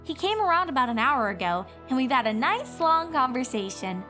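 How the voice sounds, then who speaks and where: A woman's voice: high voice, very animated, brisk, bright, forceful tone, soft background music, pitched above the performer's own voice, full character voice. A woman voicing a young bookish pony princess in a cartoon fan story, earnest, awkward and tactfully explanatory. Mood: awkwardly reassuring. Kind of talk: cartoon fan fiction character reading.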